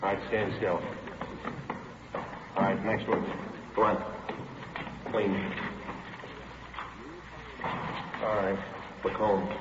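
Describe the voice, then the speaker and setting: An actor playing a terse, businesslike police detective who narrates his cases in a clipped, deadpan 1950s radio drama style. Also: low-pitched, slow pace, background noise. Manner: clipped, deadpan, matter-of-fact. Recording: dull vintage radio recording with music.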